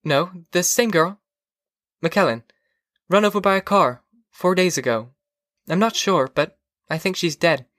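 A man voicing a vague, detached, drowsy wife from a dystopian novel, airy and halting. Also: high voice, fairly bright tone, dry recording, studio quality, pitched above the performer's own voice. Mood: drowsy, offhand.

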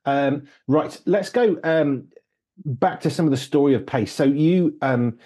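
Mellow, husky male interviewer. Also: on the high side, a little slow. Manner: affirming, with short agreements. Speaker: podcast and radio host.

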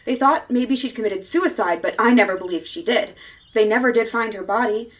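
A woman's voice: fairly high pitch, a little fast, natural voice. An actress playing a frightened, resigned woman lost in time, in the dramatic style of old-time radio horror. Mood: grave, resigned.

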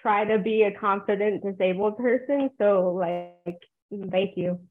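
A woman in her twenties from New Jersey, a podcast guest chatting in a hesitant, soft-spoken way and trailing off often. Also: mid-range voice, steady tone, unhurried, soft background music. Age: 20s. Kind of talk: casual interview chat. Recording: tinny phone-like call audio.

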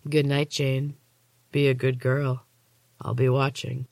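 A woman voicing an enormous male orderly in an audiobook, calm and controlling, with a low, gravelly voice. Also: slow, dry recording, exaggerated voice. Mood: quiet threat.

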